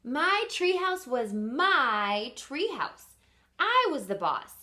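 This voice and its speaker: A woman reads a children's story aloud in a high, bright voice. Her delivery swings widely and expressively, like a teacher keeping young listeners involved with simple questions. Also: sing-song, slow pace. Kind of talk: expressive picture-book read-aloud.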